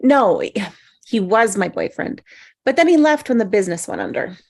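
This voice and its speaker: A woman's voice: medium pitch, big pitch swings, moderate pace, vocal fry. A woman reading the part of a flustered, self-deprecating woman in a live table read, natural and apologetic. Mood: shy, grateful.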